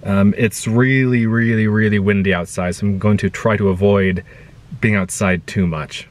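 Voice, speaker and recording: A man in his thirties giving a relaxed, rambling walkthrough of his camping setup while filming inside a parked SUV on a handheld action camera. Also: on the low side, moderate pace. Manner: relaxed, rambling, with many fillers and restarts. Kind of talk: casual walkthrough. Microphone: action camera held in hand.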